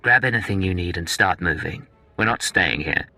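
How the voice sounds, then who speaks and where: Gravelly low-voiced male secret agent. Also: normal speed, sharp tone, soft background music, exaggerated voice. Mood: urgent, firm. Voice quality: gravelly, husky. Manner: terse, assertive. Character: cool, defiant male imperial secret agent.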